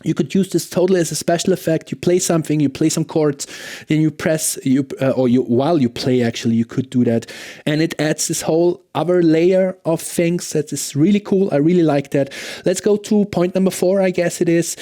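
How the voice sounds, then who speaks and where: A man's voice: fairly high pitch, brisk, mellow. A man giving a casual, conversational product review as a gear demonstrator, enthusiastic and often checking in with the listener.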